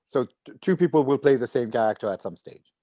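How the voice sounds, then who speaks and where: A man's voice: medium pitch, animated, quick pace, warm tone, no echo, clean studio sound. A man in a casual planning discussion among actors, thinking aloud with repeated, hesitant phrases. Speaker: voice actor at a table read.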